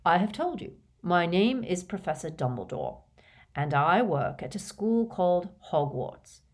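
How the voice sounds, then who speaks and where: A woman voicing an elderly male wizard headmaster who speaks in a patient, measured, courteous way, read as part of an audiobook. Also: fairly low pitch, sing-song, a little mellow. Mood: calm. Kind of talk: audiobook character voice.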